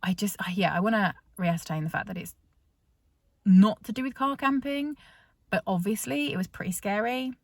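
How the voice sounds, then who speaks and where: A woman's voice: mid-range voice, normal speed, no echo, studio quality. A British woman vlogger telling a story in a casual, chatty way, full of fillers and restarts. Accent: British English.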